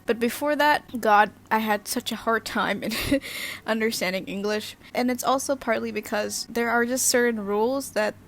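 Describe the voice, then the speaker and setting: Young female host, Indonesian native speaker, giggly casual chat, slightly high voice. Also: moderate pace. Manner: chatty, giggly, many fillers and restarts. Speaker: channel host.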